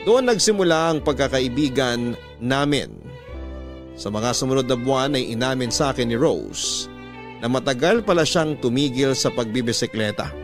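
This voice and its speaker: A man reading a scripted first-person story as a radio storyteller. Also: mid-range voice.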